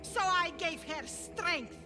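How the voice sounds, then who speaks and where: An actress playing a cruel, fanatical older priestess in a video game, with a menacing, theatrical delivery. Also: female voice, very high-pitched, slow pace. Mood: fanatical, cold. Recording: dramatic music under the voice.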